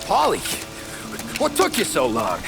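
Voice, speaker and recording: An actor playing a terse, guarded young American gangster in a 1930s crime video game. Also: male voice, a little high, wildly swooping pitch, unhurried, gravelly, music in the background, heavily acted. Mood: tense. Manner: laconic, guarded. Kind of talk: video game cutscene acting.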